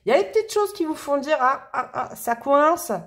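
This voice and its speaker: A woman doing a casual, chatty tarot reading to camera, with expressive, playful emphasis. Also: high voice, normal speed. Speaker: tarot reader.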